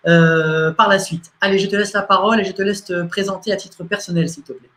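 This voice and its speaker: A man hosting an interview show, introducing the guest and laying out the talk in a measured, polite way with hesitant fillers. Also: high-pitched, fairly even intonation, a bit bright. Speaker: interview host.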